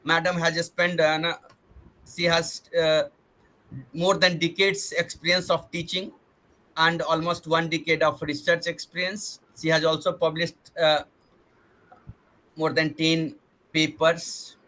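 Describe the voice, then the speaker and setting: A man's voice: on the high side, level tone, slow, long pauses, bright, forceful tone, rough recording. A man hosting an online science webinar, earnest and formal, often repeating and rephrasing himself over a video call. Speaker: webinar host.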